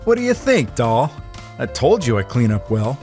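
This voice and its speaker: Man acting wisecracking middle-aged noir rogue, charming, cocky, swooping animated delivery. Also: medium pitch, moderate pace, soft background music. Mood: cocky, teasing. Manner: teasing, cocksure. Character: cocky, wisecracking aging gangster-era man, charming ex-husband. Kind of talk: hardboiled noir radio drama acting.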